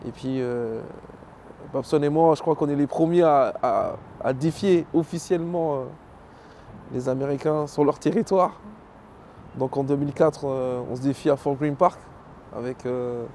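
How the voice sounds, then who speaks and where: A man's voice: mid-range voice, moderate pace, a little hiss or hum. A middle-aged man from Belgium with a Congolese family, a professional dancer, telling his own story casually in an interview, with lots of fillers. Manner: relaxed, many fillers, lists names. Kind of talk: casual interview, personal history.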